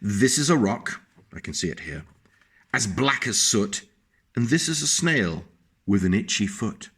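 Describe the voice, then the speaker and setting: A man with a fairly low voice reads a picture book to young children, taking his time over every line. He speaks gently and stops often to show and explain the pictures. His delivery is unhurried and patient. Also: big pitch swings, breathy edge. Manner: slow, gentle, pauses to point out pictures. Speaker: teacher reading a picture book. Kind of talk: children's storybook reading.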